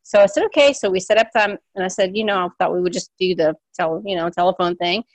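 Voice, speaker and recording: Woman new coach, quick animated storytelling, close dry mic. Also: on the low side, no echo. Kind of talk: casual storytelling.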